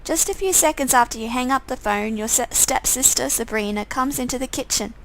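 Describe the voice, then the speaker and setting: A woman's voice: sits high, brisk, fairly bright tone, low-quality recording. A woman streamer reading a visual novel game aloud as second-person story narration.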